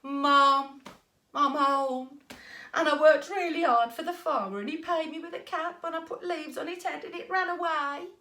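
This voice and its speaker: Woman playing an eager, high-pitched simple farm lad. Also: expressive, unhurried, fairly bright tone, reverberant. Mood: dejected. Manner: rustic, colloquial speech.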